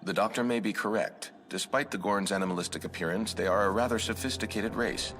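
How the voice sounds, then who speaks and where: A man playing a calm, logical alien science officer in a video game, speaking formally with precise, measured diction. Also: lowish voice, background noise, close to an everyday voice. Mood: composed. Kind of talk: video game cutscene acting.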